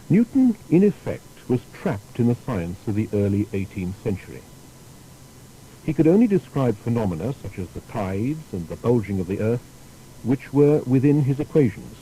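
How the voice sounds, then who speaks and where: Mellow-voiced man narrating with lively pitch. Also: a little hiss or hum, low-quality recording. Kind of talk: scripted documentary biography narration.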